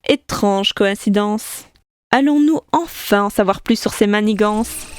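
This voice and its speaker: A woman's voice: medium pitch, measured pace, smooth, dry recording, studio quality, natural voice. A woman playing a wry, teasing storyteller, narrating a scripted audio comedy adventure theatrically.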